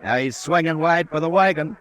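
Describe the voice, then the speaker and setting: An actor playing a calm, steady frontier marshal who speaks in an even, measured, dry way, in 1950s radio drama style. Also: male voice, on the high side, close to an everyday voice. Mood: tense.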